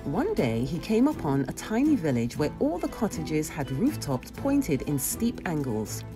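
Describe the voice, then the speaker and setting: A woman reading a children's storybook aloud in an expressive narrating style. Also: deep voice, unhurried, music in the background.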